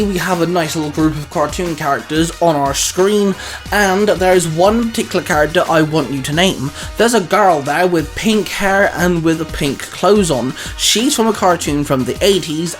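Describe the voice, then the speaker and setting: A man talking enthusiastically and in a rambling way, as a co-host in a casual, geeky fan chat. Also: on the high side. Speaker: co-host of a fan discussion show.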